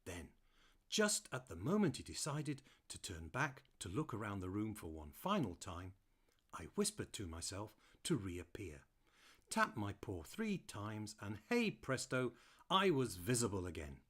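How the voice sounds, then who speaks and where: A man plays a playful terrier telling his own storybook tale. The voice is fairly low and rough-edged, with lots of swoop and variety. He comes across as a chatty, mischievous storyteller in an audiobook. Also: huge pitch swings, unhurried, a bit bright, full character voice. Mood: mischievous, fond. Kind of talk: first-person audiobook narration.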